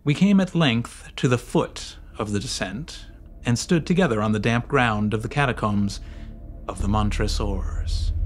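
A man narrating a gothic horror story in a dramatic, deliberate and menacing scripted style. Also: mid-range voice. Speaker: audiobook narrator. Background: music.

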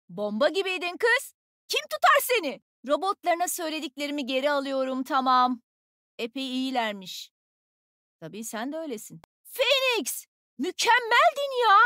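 A confident young woman fighter from a shooter game. Her voice is high and swings in lively leaps, teasing and full of energy. The sound is dry and close, with no room around it. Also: no room sound. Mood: excited, praising. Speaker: video game voice actor. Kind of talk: game character voice lines, short combat callouts.

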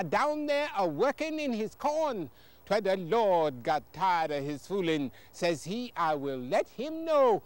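A fervent old preacher, played by an older man, rouses his flock with a swinging, rhythmic cadence in old Southern dialect. His voice sits high and swoops up and down with great animation. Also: smooth, heavily acted. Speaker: poetry performer. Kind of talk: dramatic poetry recitation.

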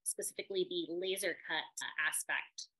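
A woman with a bright, crisp tone hosts a gallery conversation over a video call. Her voice sits slightly low, and she steers the talk with short questions and appreciative remarks. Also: moderate pace, low-quality recording. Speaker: gallery director and interviewer. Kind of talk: conversational interview.

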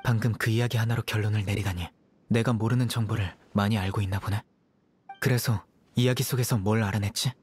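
A man voicing a calm, stern young male judge in a dubbed game, speaking in a measured, dry way. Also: on the low side, husky. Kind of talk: game dub voice acting.

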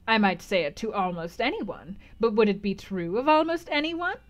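A woman's voice: mid-range voice, lively intonation, normal speed, natural voice. A woman doing a harsh old fortune-teller crone. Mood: knowing. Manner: bold, decided, mocking.

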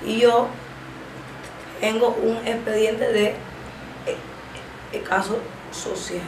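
Young woman, Cuban accent, stammering, very slow speech, echoey room, background noise. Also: on the high side, steady tone. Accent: Cuban Spanish. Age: 20s. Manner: halting, stammering, many drawn-out fillers. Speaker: interviewee. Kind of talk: personal testimony in an interview. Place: small indoor room, seated.

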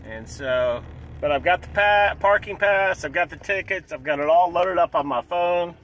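A man in his forties vlogging from inside a car, talking excitedly in a casual, repetitive way and clearing his throat often. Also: high-pitched, moderate pace, rough recording. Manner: hyped, repeats himself, clears throat often. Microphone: dashboard camera close up.

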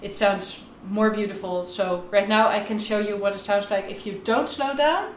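A woman's voice: mid-range voice, moderate pace, loud music behind the voice. A young woman giving an instructional violin tutorial to camera in an informal, explanatory way, with slightly non-native phrasing. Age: young adult. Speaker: violin teacher. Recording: roomy echo, violin playing at times.

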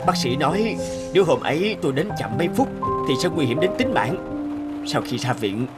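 A man's voice: on the high side, sing-song, fairly quick, loud music behind the voice. A man playing a kindly, humble truck driver in a cartoon, speaking in an earnest, reflective storytelling way. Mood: earnest, grateful. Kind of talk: cartoon voice acting.

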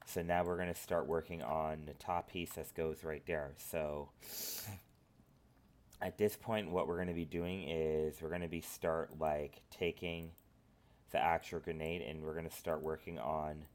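A man with a cold, sniffling as he talks through a software modeling tutorial at his computer, rambling with lots of filler phrases. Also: low-pitched. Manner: rambling, filler-heavy instructions. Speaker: tutorial host. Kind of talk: screen-recorded software tutorial.

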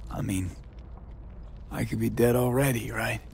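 A man's voice: on the low side, fairly even intonation, unhurried, vocal fry, a little mellow, a little hiss or hum. An actor playing a weary, bitter young mercenary in a video game, blunt and downcast in his speech. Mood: bitter, shaken. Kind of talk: naturalistic video game acting.

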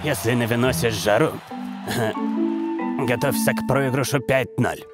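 A man's voice: mid-range voice, measured pace, loud music behind the voice, natural voice. A man voicing a menacing, taunting rival footballer in a dubbed cartoon.

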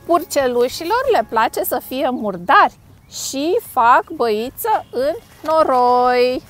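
A woman's voice: sits high, expressive. A middle-aged woman hosting a children's storytime, reading a picture book expressively and playfully in simple phrases. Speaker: storytime host. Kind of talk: animated children's picture-book read-aloud. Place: outdoors on a grassy lakeside bank.

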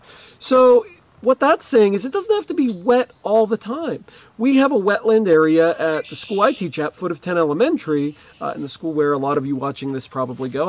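A man in his forties, a schoolteacher, reading aloud outdoors and explaining words simply to pupils, recorded on a camera a few steps away. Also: sits high. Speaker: elementary school teacher. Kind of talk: educational read-aloud with explanations. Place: outdoors beside a lakeside marsh.

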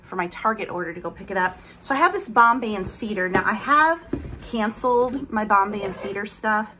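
A woman's voice: mid-range voice, animated, a little fast. Woman vlogger, thirties, casual rambling talk, slight lisp, bedroom setting, light hiss. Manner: rambling, talks while handling products. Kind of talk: casual chatty vlog, unboxing. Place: bedroom at home, indoors.

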